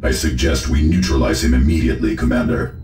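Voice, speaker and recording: Game briefing officer: very low, echoing voice, formal, dutiful, measured, over music. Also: male voice, lively intonation, gravelly, background noise, exaggerated voice. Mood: composed. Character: formal Space Marine officer advising his commander. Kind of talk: video game mission briefing acting.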